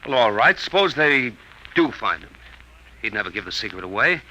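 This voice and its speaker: A man's voice: medium pitch, very animated, normal speed, bright, forceful tone, a little hiss or hum, low-quality recording. An actor playing an earnest, plain-spoken college man in dramatic vintage radio narration and dialogue. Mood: defiant. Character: earnest college man narrating his professor friend's story. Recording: old radio recording with music.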